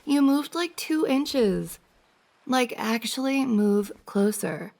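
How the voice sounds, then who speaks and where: A woman's voice: fairly high pitch, lively intonation, slow, creaky, exaggerated voice. A woman playing a playful, teasing young woman, a best friend's older sister, in a giggly, casual ASMR roleplay. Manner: giggly, teasing banter with frequent soft laughs.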